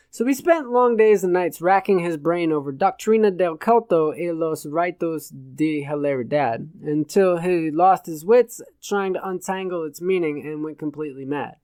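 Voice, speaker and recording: A man narrating satirical fiction from a script in a measured, literate way. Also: fairly high pitch, darkish tone, no echo. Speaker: audiobook narrator.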